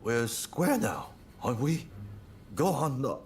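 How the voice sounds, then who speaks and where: Game cutscene coward: nervous, stammering bargainer with a gravelly crackle and very lively pitch. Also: male voice, slow pace. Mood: nervous evasive. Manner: stammering, wheedling. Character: cowardly defeated man pleading and bargaining for mercy. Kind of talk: video game cutscene acting.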